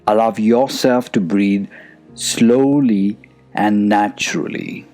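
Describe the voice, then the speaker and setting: A man guides a meditation in a fairly low voice. He speaks very slowly and soothingly, at times hushed, leaving plenty of room between phrases. Faint music plays behind him. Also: fairly even intonation. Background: soft music.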